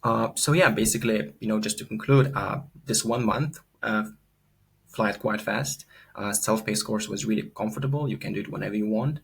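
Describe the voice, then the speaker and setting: A man's voice: on the low side, normal speed, vocal fry, fairly bright tone, husky. A young man from Lithuania, giving an earnest, unscripted course testimonial full of fillers and repeated words.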